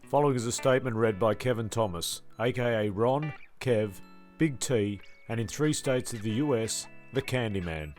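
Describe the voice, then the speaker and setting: A man's voice: mid-range voice, moderate pace, a little mellow, faint music behind, natural voice. A man playing a rambling, exasperated narrator of a comic castaway radio play. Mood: mock formal.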